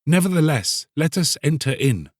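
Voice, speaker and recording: A man's voice: fairly high pitch, big pitch swings, normal speed, dry recording, clean studio sound, voice pushed up. A man voicing a sly, soothing soul that tempts and urges in archaic storybook speech, drawing out its words coaxingly. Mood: urging, sly. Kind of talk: dramatised storybook reading.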